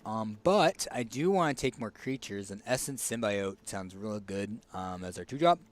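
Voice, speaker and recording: A man with a raspy, hoarse voice casually commenting on a card game as he picks cards, thinking aloud with fillers and restarts. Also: fairly low pitch, lively intonation, normal speed. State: hoarse, tickly throat. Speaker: streamer.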